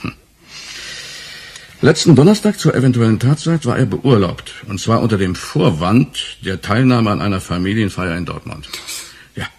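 An actor playing a stern, formal senior police official in a radio crime drama, speaking in measured bureaucratic sentences with a husky voice. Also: male voice, on the low side, expressive, darkish tone. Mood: formal, insinuating. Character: stern, formal senior police superior, male, pedantic and cool.

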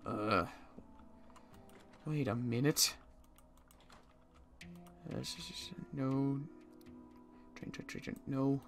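A man's voice: medium pitch, extremely slow, pauses often, fairly bright tone, soft background music. A man playing a suspicious, agitated apocalypse survivor in improvised game roleplay, muttering to himself with stammers and repeated words. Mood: pondering, muttering.

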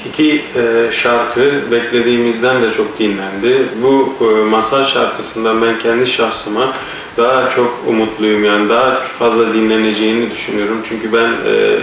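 A man's voice: medium pitch, fairly even intonation, moderate pace, a bit bright, a little hiss or hum. A man answering interview questions hesitantly, with many filler sounds and a blocked nose. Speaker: singer and actor interviewed. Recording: echoey room, mic far off.

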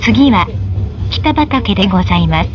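A woman reading formal, scripted tram stop announcements, politely and with measured, careful enunciation. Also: lively intonation. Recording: noisy in-vehicle recording with tram rumble. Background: tram running noise.

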